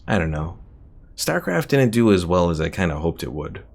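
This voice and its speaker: Deep gravelly male game streamer. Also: sing-song, moderate pace. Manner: muttering and cursing at the game. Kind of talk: casual gameplay commentary.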